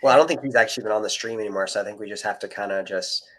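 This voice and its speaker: A man's voice: fairly low pitch, very fast, fairly bright tone. A man chatting casually as a panelist on a podcast. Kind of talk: casual chat.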